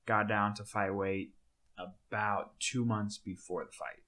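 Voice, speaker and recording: A man's voice: on the low side, level tone, slow pace, sharp tone. A man in his twenties hosting a podcast, chatting casually in a laid-back, bro-ish way and often trailing off. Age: 20s. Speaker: podcast host. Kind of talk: casual chat.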